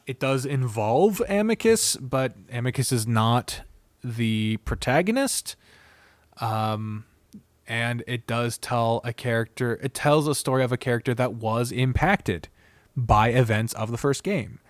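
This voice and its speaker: A man's voice: mid-range voice, expressive, a little slow, dry recording. A man hosting a casual, unscripted review video, speaking bluntly and expressively with frequent swearing and rambling asides. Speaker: video host reviewing visual novels.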